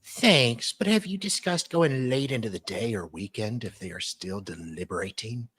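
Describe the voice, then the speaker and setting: A man with a husky voice co-hosting a casual discussion and reading emails aloud. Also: mid-range voice, animated, moderate pace, fairly bright tone.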